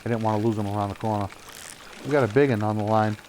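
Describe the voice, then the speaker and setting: Casual young male streamer, Boston accent, lowish pitch, chatty and excitable, faint background hiss. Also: fast. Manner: chatty, excitable at good catches, laughs often. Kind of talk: casual live gameplay commentary.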